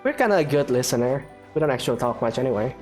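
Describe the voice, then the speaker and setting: A woman's voice: very deep voice, expressive, very fast. A woman hosting a gaming video, casually reading dialogue aloud and commenting, with game music behind her.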